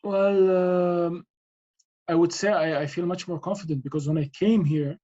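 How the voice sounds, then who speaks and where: Hesitant Lebanese engineer, muffled sound. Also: male voice, high voice, fairly even intonation, moderate pace, mellow. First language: Arabic. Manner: hesitant, many fillers and restarts. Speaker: interviewee, engineer. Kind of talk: casual interview answers. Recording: dull lo-fi sound.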